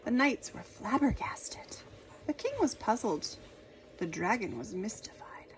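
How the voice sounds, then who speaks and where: A woman reading a children's picture book aloud in an expressive, dramatic storytelling manner. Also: fairly high pitch, a little slow, in a whisper, rough recording.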